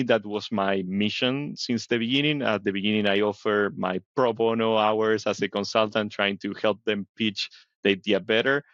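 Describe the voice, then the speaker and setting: A middle-aged man from Argentina speaks in a fairly low voice, explaining his path into biotech in an easy conversational way. The audio is dry and a little dull, like a remote interview recording. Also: a bit bright, no echo. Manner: thoughtful, explains with frequent "right?". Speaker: biotech company CEO, guest.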